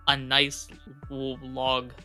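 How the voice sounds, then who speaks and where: A man's voice: medium pitch, monotone, very slow, sharp tone, faint music behind. A young man narrating a tabletop game as game master, in a casual style with many fillers.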